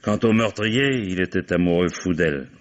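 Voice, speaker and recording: A shrewd, weary commissioner speaks in a deep voice. He is deliberate, musing and commanding, in old French radio mystery style. The sound is dull and dated. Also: lively intonation. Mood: reflective, explaining. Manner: deliberate questioning with musing interjections. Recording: dull vintage radio drama recording.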